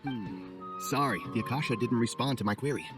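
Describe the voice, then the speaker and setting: A man playing a jumpy, self-important lowly scholar in a video game, wordy and easily flustered. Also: brisk, loud music behind the voice, lightly acted. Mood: apologetic. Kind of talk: animated video game voice acting.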